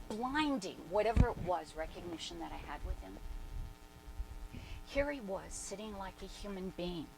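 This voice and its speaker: A woman performing a dramatic reading as an awestruck devotee who fondly recalls her spiritual master, theatrical and emphatic, with deliberate pauses. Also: mid-range voice. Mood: marveling, fond. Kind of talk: dramatic reading of a first-person reminiscence. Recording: noisy live recording.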